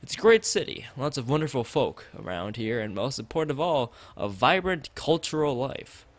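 A man's voice: mid-range voice, sing-song, moderate pace, dry recording, lightly acted. A man reading the part of an enthusiastic older male art teacher from a visual novel, in a hearty, emphatic manner. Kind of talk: visual novel dialogue read aloud.

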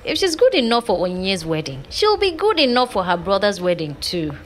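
An actress with a Nigerian accent playing a brash, fun-loving young bride-to-be in casual audio drama banter. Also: female voice, mid-range voice, sing-song, brisk, a bit bright, slight background noise. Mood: playful, brash.

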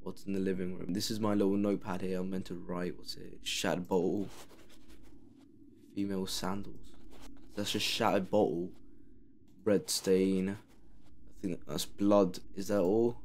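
A man's voice: sits low, flat delivery, unhurried, long pauses, darkish tone, a little hiss or hum. A man commenting sarcastically while he plays a horror game. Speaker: gamer playing a horror game. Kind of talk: let's play commentary.

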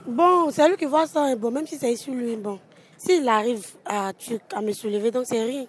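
A young woman speaks into an interviewer's handheld mic on an outdoor market street, her voice a little high. She talks loosely and exclaims as she answers. Faint traffic hums in the background. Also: normal speed. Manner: giggly, exclaiming, loose talk. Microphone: handheld mic held by interviewer.

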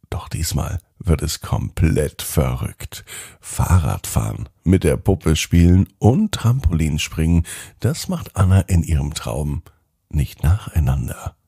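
A man's voice: low-pitched, warm tone, breathy edge, dry recording, clean studio sound. A man hosting a children's podcast and narrating a scripted bedtime story, speaking expressively and directly to young children.

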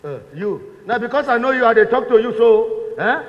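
An actor as a jovial Nigerian layabout man in a stage comedy. His voice is very high, loud and full of boastful teasing. It rings in an echoey hall with muffled sound. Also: expressive, brisk. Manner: loud, teasing, breaks into laughter. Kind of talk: stage play comedy acting in Pidgin and Yoruba. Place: indoor theatre stage, distant camera.

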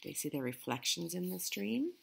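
A woman's voice: lowish voice, big pitch swings, normal speed, creaky, slight background noise. A middle-aged woman reading a picture book aloud and addressing young viewers at home. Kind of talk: children's read-aloud and friendly address to viewers. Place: living room at home.

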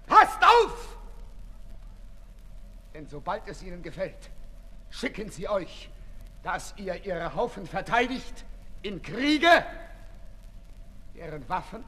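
An actor playing an ailing, embittered revolutionary who exhorts the people with urgent declamation in an old radio play. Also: male voice, high-pitched, sing-song, slow, long pauses, bright, forceful tone, exaggerated voice. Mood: mounting outrage. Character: fiery ailing revolutionary orator, fervent and embittered. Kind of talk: 1960s German radio play acting. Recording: 1960s radio recording, reverberant hall, hissy.

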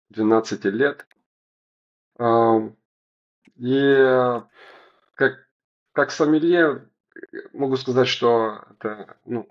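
Мужчина лет сорока с румынским акцентом отвечает на вопросы интервью по-русски, запинается и подбирает слова. Голос с хрипотцой, звук глуховатый, как в видеозвонке. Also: male voice, medium pitch, highs cut off. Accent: Romanian. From Romania. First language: Romanian. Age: 40s. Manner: halting, searching for words, many fillers. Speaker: sommelier guest. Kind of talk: interview answers in a second language. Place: indoors at home, bright room.

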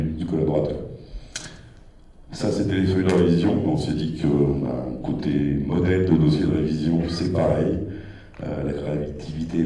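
A man in his fifties explaining software features on stage with a handheld mic, measured and full of fillers. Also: rumbling low, distant mic. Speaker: keynote presenter. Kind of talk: product keynote presentation. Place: large dark stage with a big screen. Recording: echoey hall, voice from afar.

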